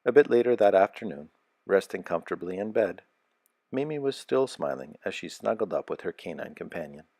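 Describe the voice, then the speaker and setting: A man with a deep, slightly gravelly voice reads a scripted children's story. His delivery is measured and expressive, and the sound is close and dry, with very little room around it. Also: no room sound. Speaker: storybook narrator.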